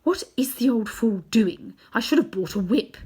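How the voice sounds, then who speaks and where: A woman reading aloud, voicing a cold, imperious queen witch from a storybook in a loud, commanding tone. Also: a little high, expressive, husky, pitched above the performer's own voice. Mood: scornful commanding. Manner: commanding, scornful, slow and forceful.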